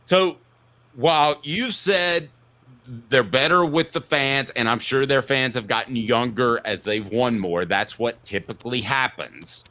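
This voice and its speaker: A man hosting a sports talk show, giving opinionated commentary in an emphatic way, with punchy declarations and drawn-out stresses. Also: mid-range voice, sharp tone.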